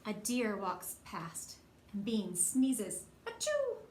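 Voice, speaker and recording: A woman's voice: medium pitch, measured pace. A woman reading a picture book aloud to young children as a storyteller, expressively and with questions to her listeners. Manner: expressive, acts out dialogue, asks listeners questions. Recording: roomy echo, faint background noise.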